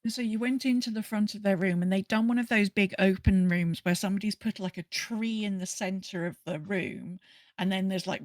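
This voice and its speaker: A woman's voice: mid-range voice, dry recording. A woman hosting a casual gaming podcast, chatting in an enthusiastic, rambling way. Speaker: podcast host. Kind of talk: casual podcast chat about a video game.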